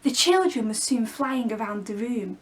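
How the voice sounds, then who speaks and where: A woman storyteller reading a children's story expressively, with clear phrasing for young listeners. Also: on the high side, expressive, normal speed, poor audio. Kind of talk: expressive scripted storybook narration.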